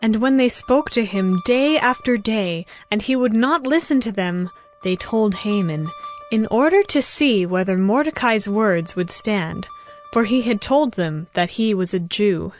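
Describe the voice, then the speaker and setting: A woman narrating scripture from a script as a measured, formal storyteller in a dramatized audiobook. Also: medium pitch, lively intonation. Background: music.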